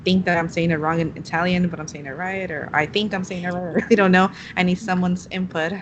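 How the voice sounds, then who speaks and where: A young woman whose first language is Spanish talks in a slightly low voice. Her speech is chatty and loose, with "like" in nearly every sentence. A steady hiss is clearly audible behind her. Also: fast, highs cut off. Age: young adult. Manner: chatty, heavy use of "like". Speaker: student teacher. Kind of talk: casual class discussion.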